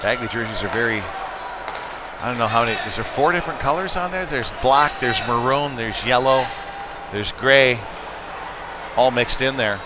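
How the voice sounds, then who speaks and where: A man's voice: medium pitch, moderate pace, pauses often. A man calling live ice hockey play-by-play in a conversational, folksy way, with casual asides between the action. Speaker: play-by-play commentator. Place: indoor youth hockey arena. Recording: noisy arena with clattering ambience.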